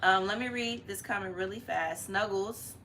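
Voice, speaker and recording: A woman's voice: mid-range voice, moderate pace. A young woman hosting a family live stream in a chatty, familiar, slangy way from a living room. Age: young adult. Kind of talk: casual live-stream chat. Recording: noticeable room noise.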